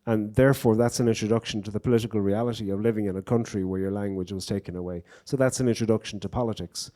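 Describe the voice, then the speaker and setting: A man's voice: on the low side, steady tone, quick pace, mellow. An Irish man, a poet, telling wry stories and reflecting in a live on-stage conversation at a bookstore event. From Ireland. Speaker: poet and guest speaker.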